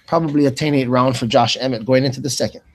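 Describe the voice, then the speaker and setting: A man's voice: mid-range voice, fairly even intonation, fast. A man co-hosting fight commentary, reacting casually in slangy talk.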